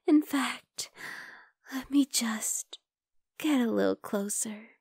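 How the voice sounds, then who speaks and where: A woman playing a possessive, bloodthirsty vampire girl in an ASMR roleplay, talking intimately to the listener and slipping into breathy whispers. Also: a little high, takes it slowly, a little mellow, no echo, full character voice. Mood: hungry, intoxicated.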